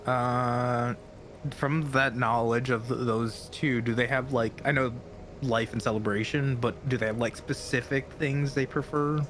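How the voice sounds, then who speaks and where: A man's voice: mid-range voice, fairly even intonation, a little slow, background noise. A man playing in a tabletop game, asking tentative questions in a casual way. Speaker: player.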